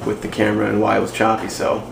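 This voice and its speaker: A man's voice: fairly low pitch, completely flat delivery, fast, gravelly, noisy background. Rambling young man vlogging from his car. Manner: rambling, self-deprecating, loose. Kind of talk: casual vlog chat. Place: inside a parked car, then outdoors and a home kitchen. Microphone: handheld camera at arm's length.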